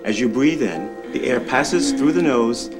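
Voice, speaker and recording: A middle-aged man playing a reassuring family doctor, explaining things in a measured, instructive way. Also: medium pitch, lively intonation, music in the background, lightly acted. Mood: calm, reassuring. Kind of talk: scripted educational explanation.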